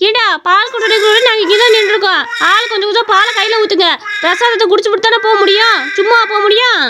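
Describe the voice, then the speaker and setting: A woman voicing a bossy, theatrical village woman in an animated comedy, insistent, with rural Tamil Nadu speech. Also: extremely high pitch, fast talker, heavy background noise. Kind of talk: animated comedy story voice acting.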